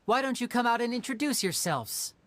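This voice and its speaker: A woman voicing a composed, courteous, shrewd young character in a video game, measured and wry. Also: a little high, lively intonation, slight background noise, natural voice. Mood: cautious. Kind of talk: English video game voice acting.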